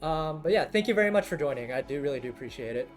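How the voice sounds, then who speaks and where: A young man streaming a game, chatting to viewers in a halting, rambling way full of fillers, his voice scratchy and worn down from talking for hours. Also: fairly high pitch, animated, faint music behind. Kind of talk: casual live-stream chat with viewers.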